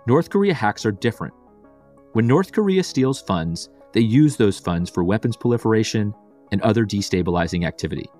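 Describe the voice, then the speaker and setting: A man hosting a podcast, reading a scripted intro then chatting with his guest in a measured, clear way. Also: fairly low pitch, darkish tone. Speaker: podcast host. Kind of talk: scripted intro then casual interview chat.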